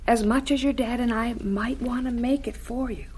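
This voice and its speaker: A woman's voice: on the high side, steady tone, darkish tone, slight background noise, close to an everyday voice. An actress playing a stern but caring, devout mother in a radio drama, speaking firmly and earnestly. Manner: firm, earnest, deliberate.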